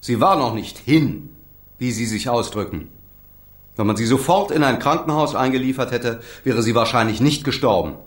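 A man acting a coolly businesslike court-appointed lawyer in a radio crime drama, speaking formally, precisely and in measured phrases. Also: medium pitch, expressive, natural voice. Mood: reproachful. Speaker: actor playing a defence lawyer. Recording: roomy, echoing interior sound.

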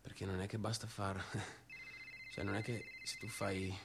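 An actor playing an obsessive, aggrieved young factory boss in a theatrical monologue, with a northeastern Italian accent and halting, repetitive, colloquial speech. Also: male voice, sits low, little pitch movement, noisy background. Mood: subdued, brooding. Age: young adult.

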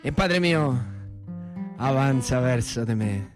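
A man's voice: medium pitch, lively intonation, slow pace, over music. A man with a central Italian accent performing a theatrical narrative monologue, declamatory and insistent in his repetitions. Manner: impassioned, declamatory, repeats phrases insistently. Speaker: actor and storyteller.

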